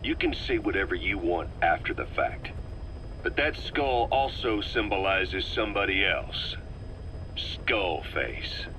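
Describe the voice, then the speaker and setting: Sceptical military questioner, deep voice, clipped and guarded, deadpan, game acting, slight background noise. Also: male voice, takes it slowly, full character voice, poor audio. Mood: sceptical, curt. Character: stern, sceptical male military interrogator, terse and unimpressed. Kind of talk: dramatic video game voice acting. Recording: faint background noise, cassette tape interrogation.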